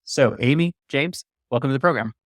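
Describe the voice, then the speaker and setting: A man with a medium-pitched voice hosts an interview show. He is enthusiastic and wordy, explaining things in full sentences. The sound is dry, with very little room on it. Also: brisk, no room sound. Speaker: podcast host. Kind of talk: upbeat hosted interview talk.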